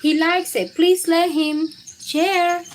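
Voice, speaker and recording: A woman voicing a patient, gently insistent storybook mother who coaxes and instructs her young child. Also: very high voice, level tone, takes it slowly, a little hiss or hum, voice pushed up. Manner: coaxing, instructive.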